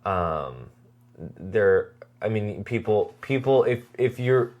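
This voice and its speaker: This is a low-pitched voice in a casual review chat. The co-host speaks earnestly and haltingly, doubling back on words while working out each thought. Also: very animated, darkish tone, lo-fi sound. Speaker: podcast co-host. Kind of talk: casual chat reviewing a web horror series.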